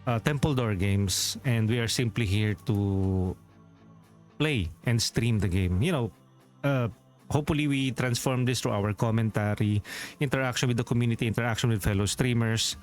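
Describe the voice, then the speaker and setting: A man with a Filipino accent chatting casually as a game streamer, rambling along with lots of "uh" fillers and little chuckles. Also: medium pitch. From Philippines. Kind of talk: casual live-stream chat.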